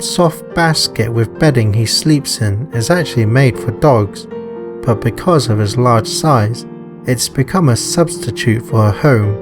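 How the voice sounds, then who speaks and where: A man narrates a scripted story at a slow pace. His voice is mid-pitched, and music plays underneath. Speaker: narrator and author.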